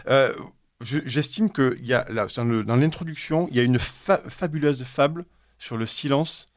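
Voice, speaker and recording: A man's voice: medium pitch, normal speed. Man asking long, winding questions. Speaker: radio interviewer. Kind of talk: radio interview question.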